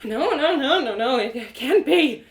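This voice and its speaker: A woman's voice: high voice, lively intonation, drawn-out words, bright, forceful tone, voice pushed up, exaggerated voice. A woman playing a distraught, hot-tempered female adventurer in an acted audio story, stammering and exasperated. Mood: panicked.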